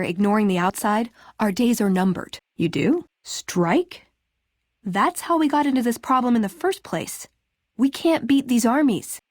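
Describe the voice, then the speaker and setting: A woman's voice: medium pitch, darkish tone, no echo, studio quality, close to an everyday voice. An American actress playing a spirited, sarcastic young woman, a scrappy scavenger and brawler companion in a video game. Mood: earnest, frustrated. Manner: sarcastic, playful, quippy. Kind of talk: video game dialogue acting.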